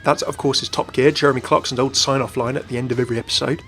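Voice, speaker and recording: A man's voice: medium pitch, steady tone, very fast, a bit bright, faint music behind. A man presenting a gaming video in scripted explainer narration.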